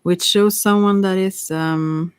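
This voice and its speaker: A non-native English speaker giving a casual, unscripted tarot reading in a hesitant, halting way, searching for words. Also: medium pitch, fairly even intonation, clear, resonant tone, no room sound. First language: not English. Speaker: tarot reader.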